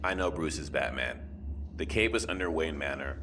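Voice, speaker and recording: Guy playing a confident superhero, really really deep, wry and chatty, noisy recording. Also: lively intonation, moderate pace. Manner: conversational, wry. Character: confident, wry, good-hearted male alien superhero. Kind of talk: audio drama acting.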